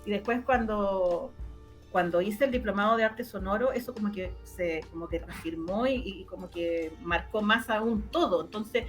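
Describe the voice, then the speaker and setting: A woman with a Chilean Spanish accent explaining her own work as an interview guest, in an animated, conversational way. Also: mid-range voice, normal speed, faint music behind. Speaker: interview guest, radio drama producer. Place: online video interview.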